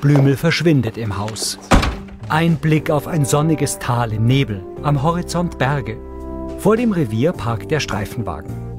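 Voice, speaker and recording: A man narrates audio description for a TV drama in short scripted sentences. His voice is medium in pitch, with music clearly running underneath. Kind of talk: scripted narration, present-tense scene description.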